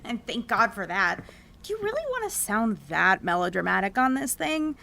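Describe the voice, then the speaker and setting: Lively, high-voiced teenage best friend. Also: female voice, normal speed, vocal fry, exaggerated voice. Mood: exasperated, blunt. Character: seventeen-year-old best friend, blunt, sensible, protective. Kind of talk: audio drama dialogue.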